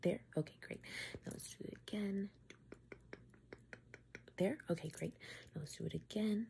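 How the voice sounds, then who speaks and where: Female, twenties, ASMR medic character, whisper mixed with soft creaky speech, close-up with clear background noise. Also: mid-range voice, moderate pace. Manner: whispery, slipping between soft talk and whisper. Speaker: ASMR creator playing a doctor. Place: small room at home, indoors.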